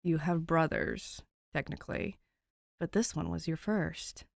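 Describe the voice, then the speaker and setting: A woman voicing a soft-spoken, cryptic young man who knows things, in a fan fiction reading. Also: deep voice, lively intonation, unhurried, vocal fry, no echo, clean studio sound, exaggerated voice. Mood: quiet, knowing. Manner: quiet, cryptic.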